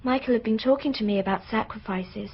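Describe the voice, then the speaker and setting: A young girl witness in a radio drama, with a high, breathy voice. She is earnest and hesitant, as if confiding a secret. The recording sounds dull and muffled. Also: quick pace. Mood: innocent confiding.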